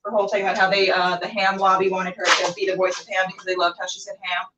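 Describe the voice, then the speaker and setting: A woman's voice: mid-range voice, little pitch movement, fast, background noise. A woman chatting casually and playfully to camera as a cooking show host, rambling and talking to herself as she goes. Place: indoors while cooking, live stream. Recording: roomy, distant, dull camera sound.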